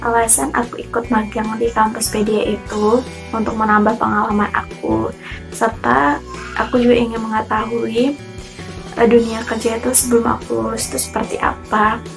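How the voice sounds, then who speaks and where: A woman giving a steady, deliberate testimonial as a former intern. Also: on the high side, creaky, over music. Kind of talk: prepared testimonial.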